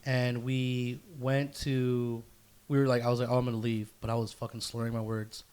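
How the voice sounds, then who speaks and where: A man's voice: medium pitch, level tone, normal speed, a little mellow, clear, resonant tone. Slangy, profane young man hosting a podcast. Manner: slangy, profane, repeats himself for emphasis. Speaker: podcast host. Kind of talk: casual chat, storytelling.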